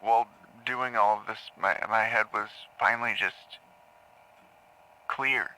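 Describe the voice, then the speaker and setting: A man playing a troubled patient in an audio drama, haltingly confessing dark memories to a therapist, stammering and repeating words. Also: lowish voice, steady tone, heavily acted, poor audio. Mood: subdued, hesitant. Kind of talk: audio drama acting, intimate confessional monologue.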